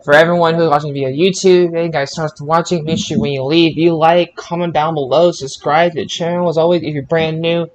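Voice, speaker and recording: A man's voice: on the high side, fairly even intonation, fairly quick, few pauses, a little hiss or hum. A man hosting a podcast in a casual, chatty way, often stumbling and repeating his words. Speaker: podcast host. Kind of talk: casual podcast chat and sign-off.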